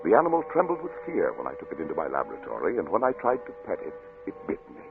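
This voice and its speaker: An actor playing a grave, doomed scientist, narrating solemnly and in measured literary phrases for an old-time radio drama. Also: male voice, low-pitched, wildly swooping pitch, creaky, background noise, heavily acted. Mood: sombre recounting. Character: doomed, grave male scientist narrating his final casebook. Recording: narrow vintage radio recording with music.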